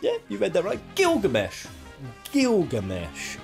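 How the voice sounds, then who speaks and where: A man's voice: high voice, wildly swooping pitch, a little slow, gravelly, soft background music. A man hosting a countdown video, giving enthusiastic opinion commentary in an informal, rambling and emphatic way. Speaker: host of a gaming countdown video.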